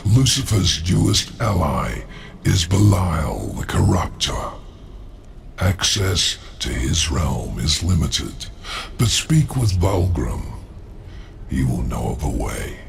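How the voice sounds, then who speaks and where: An actor playing a sly, calculating demon lord in a video game, gravelly, formal and measured. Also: male voice, low voice, big pitch swings, a little hiss or hum, exaggerated voice. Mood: conspiratorial. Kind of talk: dramatic video game cutscene acting.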